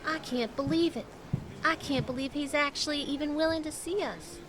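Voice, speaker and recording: A woman plays a weary, anxious mother with a slightly high voice and a tired, worried tone. A noisy waiting room is heard around her. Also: moderate pace, natural voice.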